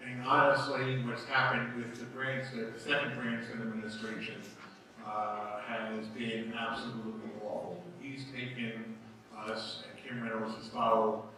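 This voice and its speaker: A man in his sixties with a slight East Coast accent speaks at a podium in a big, very echoey hall. His voice is bright and carries from some distance off the mic, over a faint hum. He sounds earnest and chatty, trading easy jokes with the room. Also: mid-range voice. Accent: slight East Coast American. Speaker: political candidate. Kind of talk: campaign forum speech. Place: large hall.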